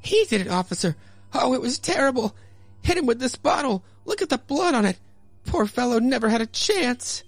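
A man's voice: very high voice, big pitch swings, normal speed, no room sound, soft background music, voice pushed up, full character voice. A man voicing a deceitful young woman, really a witch, who pleads melodramatically in a radio play. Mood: feigned horror.

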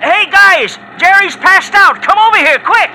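An actor playing an excitable older factory worker in a 1940s radio drama, flustered and exclamatory. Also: male voice, very high-pitched, a little slow, exaggerated voice, rough recording. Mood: alarmed. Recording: old radio recording with music.

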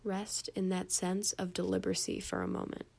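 A young adult woman reading a scripted guided meditation in a calm, gentle, measured way, with soft phrase endings. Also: mid-range voice, gravelly, husky.

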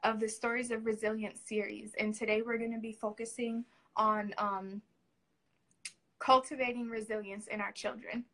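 Woman, livestream host, casual interviewing style, crisp bright tone, fairly high pitch. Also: level tone, moderate pace, low-quality recording.

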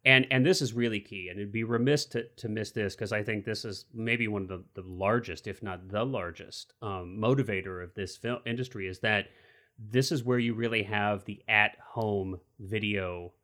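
A man's voice: lowish voice, moderate pace, sharp tone. A man in his forties hosting a casual film discussion, talking enthusiastically and rambling through restarts. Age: 40s.

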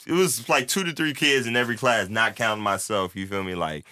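A man's voice: fairly low pitch, brisk, pushed and bright, clear, resonant tone, dry recording. A young man talking in a loose, rambling way, with lots of filler, and acting out what his friends said. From born in Turkey, raised in the United States. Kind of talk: casual chat.

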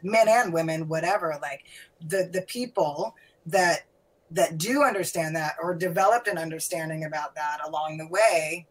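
A middle-aged woman with a lowish voice rambles through casual conversation, laughing often. She loses her thread and circles back, and her speech is full of fillers. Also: moderate pace, low-quality recording.

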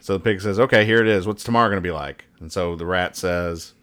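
A man with a lowish voice hosts a casual show. He rambles along conversationally, describing things in a joking, mock-serious way. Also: quick pace, fairly bright tone, clear, resonant tone. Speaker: podcast host. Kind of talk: casual banter, reading and describing comic strips.

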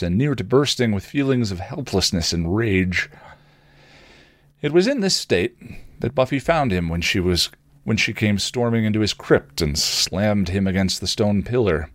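A man reading fan fiction aloud as expressive story narration. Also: lowish voice, normal speed, no echo, studio quality. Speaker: fan fiction reader on a podcast.

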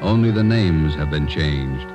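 A man playing a solemn radio announcer, speaking in a grave, measured style of old-time radio. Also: a bass voice, animated. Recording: old radio recording with loud music.

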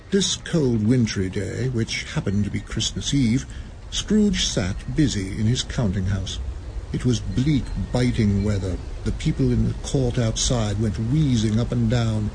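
A man narrates a radio play at a middle pitch, measured and deliberate. He savors the words as he goes. A faint hiss sits under his voice. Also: darkish tone. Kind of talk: scripted dramatic narration.

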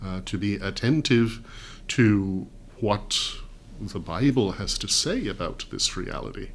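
A middle-aged man from New York, a guest telling stories in a measured, articulate way with dry humor. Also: fairly low pitch, animated, slight background noise. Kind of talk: conversational storytelling.

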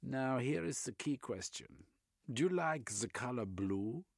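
A man putting on a loud flamboyant German doctor. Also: medium pitch, unhurried, vocal fry, no echo, studio quality, voice pushed up. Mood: lecturing. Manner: excitable, lecturing, insistent.

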